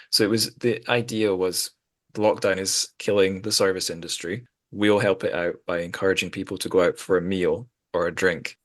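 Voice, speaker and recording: A man's voice: low-pitched, a bit bright, no echo, studio quality. A man hosting a casual literary podcast, talking in a wry, discursive way with hesitant phrasing. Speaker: podcast host.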